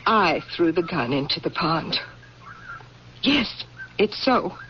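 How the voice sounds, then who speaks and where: Grieving, resolute military widow. Formal, impassioned radio drama delivery, muffled vintage sound with light hiss. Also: female voice, lowish voice, lively intonation, slow pace. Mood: confessional, anguished. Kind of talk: old-time radio drama acting. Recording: muffled, hissy old radio recording.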